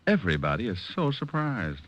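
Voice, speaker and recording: An actor playing a cocky, wry private detective with an American accent, in the style of old radio crime drama. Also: male voice, lowish voice, big pitch swings, fairly quick, fairly bright tone, lo-fi sound. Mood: pressing, sardonic. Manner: wry, sarcastic, hard-boiled. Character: wisecracking, cocky private detective, flirtatious with women. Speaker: detective and narrator. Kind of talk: 1940s-50s radio detective acting. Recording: old radio recording with music.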